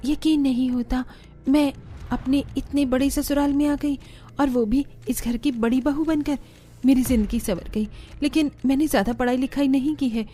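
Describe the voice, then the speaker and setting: A woman voicing a humble, anxious, simple young bride in an animated family drama. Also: high-pitched, moderate pace, faint music behind. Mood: hopeful, anxious.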